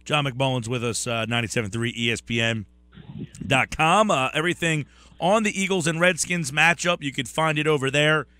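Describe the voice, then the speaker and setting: A man's voice: a little high, fairly quick, sharp tone, no room sound. A man hosting a sports talk radio show, asking the guest questions in a conversational way.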